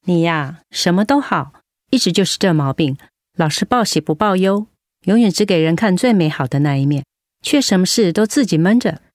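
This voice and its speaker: Actress as low-voiced caring nurse, Taiwanese Mandarin. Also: female voice, expressive, normal speed, no room sound, studio quality, lightly acted. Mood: concerned. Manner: earnest, gently admonishing. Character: caring, firm older-sister nurse looking after a relative. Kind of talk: radio drama acting.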